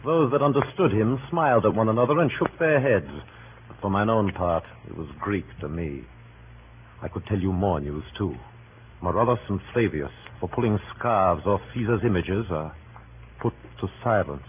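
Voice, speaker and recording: An actor playing a blunt, scornful Roman in a radio Shakespeare drama, telling tales in a sardonic, theatrical way. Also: male voice, lowish voice, expressive, normal speed, lightly acted. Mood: wry, dismissive. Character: blunt, scornful Roman man mocking the mob. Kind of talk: 1930s radio Shakespeare acting. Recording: tinny, hissy old radio recording.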